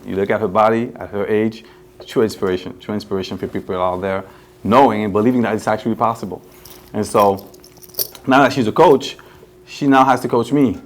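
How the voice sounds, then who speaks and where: Caribbean accented guy in his 40s, low voice, earnest and halting, faint hiss. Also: fairly even intonation. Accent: mixed Caribbean. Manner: earnest, halting, many fillers. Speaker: guest. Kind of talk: casual chat.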